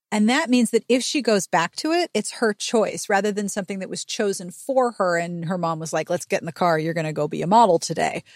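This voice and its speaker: A woman's voice: medium pitch, no room sound, clean studio sound. A woman hosting a podcast in a casual book recap chat, speaking in an opinionated, emphatic, slangy way. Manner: opinionated, emphatic, slangy, acts out imagined quotes. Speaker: podcast host.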